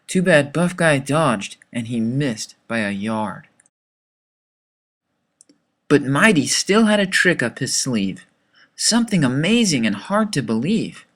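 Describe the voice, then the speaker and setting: A man reading a rhyming children's story as its author, with dramatic, expressive delivery. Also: fairly high pitch.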